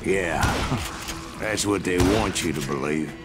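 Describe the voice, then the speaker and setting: An actor playing a grizzled old gunslinger with an American Western accent, spinning boastful, salty tales in a video game. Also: male voice, deep voice, slow, heavy background noise. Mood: wry. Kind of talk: dramatic storytelling narration. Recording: music behind the voice.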